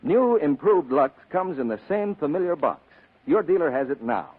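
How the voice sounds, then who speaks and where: A man's voice: fairly high pitch, big pitch swings, moderate pace. A man announcing a scripted commercial in 1940s radio style. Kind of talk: 1940s radio advertising pitch. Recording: muffled old radio broadcast.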